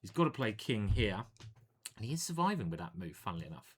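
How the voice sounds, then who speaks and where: A man's voice: lowish voice, very animated, fast, husky. A man, a chess grandmaster, casually commentating his own online games in a playful, chatty way. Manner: playful and chatty, hums and sings little jingles.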